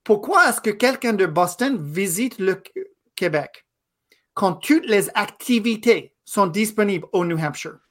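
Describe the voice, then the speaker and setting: A man in his thirties, a native English speaker from Quebec, giving a guest interview in a thoughtful, halting way. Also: sits high, expressive, fairly bright tone. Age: 30s. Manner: thoughtful, halting, with frequent pauses and restarts. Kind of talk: casual interview conversation.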